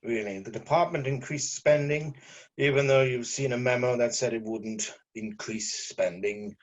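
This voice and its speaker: A man's voice: medium pitch, normal speed, close to an everyday voice. A man playing a jaded, sarcastic newspaper journalist in an amateur script table read, with theatrical delivery. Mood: bored sarcasm. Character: sardonic, jaded male newspaper journalist, drunk when young.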